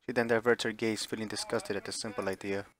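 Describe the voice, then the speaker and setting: A man's voice: lowish voice, level tone, quick pace. A man streaming a visual novel, chatting casually as he plays and reading the text aloud, sometimes stumbling and correcting himself.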